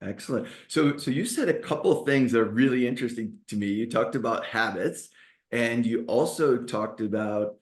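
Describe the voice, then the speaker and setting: A man's voice: mid-range voice, moderate pace. A man hosting an interview over a video call, asking long, enthusiastic questions with frequent restarts. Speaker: podcast host. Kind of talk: interview host.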